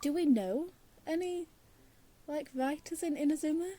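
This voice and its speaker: A woman's voice: high voice, moderate pace, dark tone. A woman giving casual let's play commentary on a video game in a hesitant, wry, giggly manner. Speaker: gamer commenting on a playthrough.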